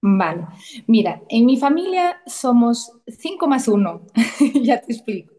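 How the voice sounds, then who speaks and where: A woman's voice: fairly high pitch, sing-song, unhurried, warm tone. Chatty woman in her thirties. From Portugal. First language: Portuguese. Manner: chatty, emotional storytelling with many restarts. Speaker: guest. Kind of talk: casual conversational interview.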